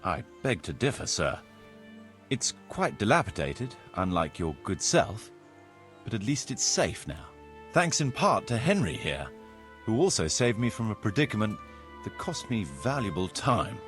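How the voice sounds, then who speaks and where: An actor playing a formal, courtly medieval land surveyor in a video game, speaking precisely and deferentially. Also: male voice, fairly low pitch, sing-song, measured pace, a bit bright, soft background music. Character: formal, courtly medieval locator Marius, eager planner and surveyor. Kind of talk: video game cutscene acting.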